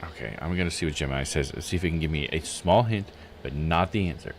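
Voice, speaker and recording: A man's voice: very deep voice, quick pace, slight background noise. A man giving casual live game commentary, thinking aloud in a musing, self-questioning way.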